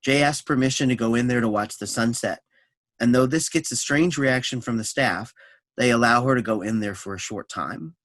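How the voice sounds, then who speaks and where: A man's voice: mid-range voice, moderate pace, fairly bright tone, dry recording. Man reading stage directions, dry close sound. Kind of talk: scripted narration for a staged reading.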